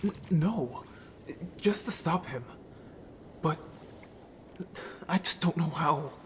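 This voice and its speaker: A man's voice: fairly high pitch, slight background noise, close to an everyday voice. A man playing a guilt-ridden, anxious young merman in a fantasy audio drama, speaking hesitantly and emotionally. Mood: troubled. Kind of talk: scripted audio drama acting.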